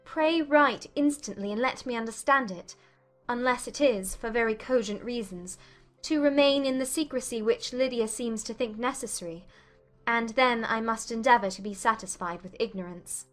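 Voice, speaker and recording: A woman playing an intelligent, curious young gentlewoman in a dramatized audiobook, speaking in a formal, articulate and emphatic way. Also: on the high side, moderate pace, soft background music, lightly acted. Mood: earnest, insistent. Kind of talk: dramatized audiobook character acting.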